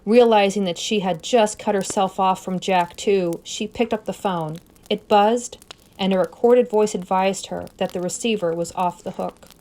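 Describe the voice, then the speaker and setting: A woman reading a scary story aloud as narrator, expressive and suspenseful, with deliberate phrasing. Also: mid-range voice. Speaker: story reader.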